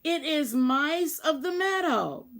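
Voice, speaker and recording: A woman's voice: high-pitched, lively intonation, slow pace, a bit bright, pitched above the performer's own voice, full character voice. A woman reading a storybook aloud, voicing sorry little meadow mice in a beseeching, emphatic manner. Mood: apologetic. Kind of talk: children's storybook read-aloud.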